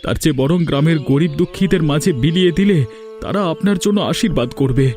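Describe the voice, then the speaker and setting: A man's voice: on the high side, fast, darkish tone, soft background music, lightly acted. An actor playing a humble, deferential poor village man in a moral cartoon dub. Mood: earnest, reassuring. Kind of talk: Bengali moral cartoon dubbing.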